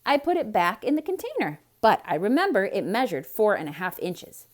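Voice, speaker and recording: A woman's voice: medium pitch, very animated, a little fast, close to an everyday voice. A woman voicing a cheerful, naive, earnest housemaid in a theatrical children's storybook reading. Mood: innocent, eager. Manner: chirpy, earnest, eager.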